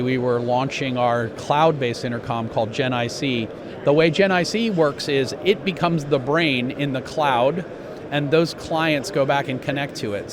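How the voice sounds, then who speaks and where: A man giving an interview as a company executive, explaining products in a measured way with pauses mid-phrase. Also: medium pitch, background noise. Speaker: company president being interviewed.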